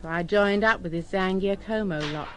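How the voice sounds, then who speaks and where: A woman's voice: medium pitch, normal speed, fairly bright tone, natural voice. An actress playing a poor, hard-bitten young orchestra girl, desperate and pleading, in a radio drama. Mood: bitter.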